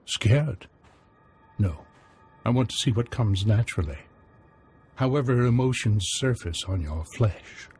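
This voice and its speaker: An actor playing a sinister old cell leader in a video game, speaking with courtly, cool menace. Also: male voice, fairly low pitch, animated, unhurried, pauses often, slightly rough. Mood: coolly menacing. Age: older. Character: sinister old man leading a hidden cell, coolly menacing. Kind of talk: video game cutscene acting. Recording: game audio over loud music.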